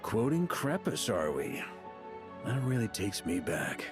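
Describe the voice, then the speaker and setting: An actor playing a seasoned knight grandmaster in a game, measured and authoritative, stern with a wry streak. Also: male voice, fairly low pitch, big pitch swings, music in the background. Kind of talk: scripted game dialogue.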